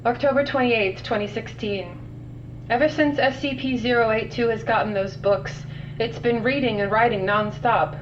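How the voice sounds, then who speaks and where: A woman's voice: fairly high pitch, moderate pace, natural voice. A woman playing a weary, sardonic scientist recording containment logs in an audio drama, dry and matter-of-fact. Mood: wry, bemused. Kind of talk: audio drama log narration. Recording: muffled, distant, noisy log with hum.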